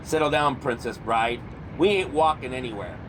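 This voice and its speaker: A man's voice: mid-range voice, moderate pace, natural voice. A man playing a cocky, dim-witted twin brother who boasts and insists he is right, in a comedy audio drama. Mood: indignant, cocky. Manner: boastful, animated storytelling. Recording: car and street noise behind.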